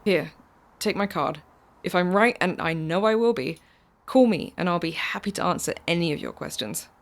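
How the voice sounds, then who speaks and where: Casting: actress for mysterious female protector, low-voiced, calm and knowing, audio drama. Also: normal speed, lightly acted. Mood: cool, assured. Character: mysterious, calm, insistent woman driver, secret protector.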